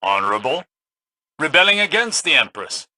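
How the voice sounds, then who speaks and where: A man's voice: on the high side, sing-song, moderate pace, sharp tone, dry recording, heavily acted. An actor playing a proud, dour scholarly man in a video game, speaking in a clipped, dry and formal manner. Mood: dry, brooding. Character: austere scholarly male sage, proud and dour. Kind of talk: scripted video game mission dialogue.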